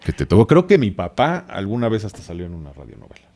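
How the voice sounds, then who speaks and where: A man's voice: fairly low pitch, huge pitch swings, fast, darkish tone. A man in his forties with a Mexican accent, explaining things casually as a podcast guest, hesitating and wandering into asides. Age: 40s. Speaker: podcast guest, expert on radio history.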